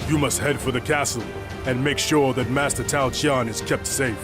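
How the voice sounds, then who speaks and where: An actor playing a stern, honorable general of ancient China in a video game, speaking formally and resolutely. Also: male voice, mid-range voice, fairly even intonation, normal speed, lightly acted. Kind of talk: video game dramatic acting. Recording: voice under loud music.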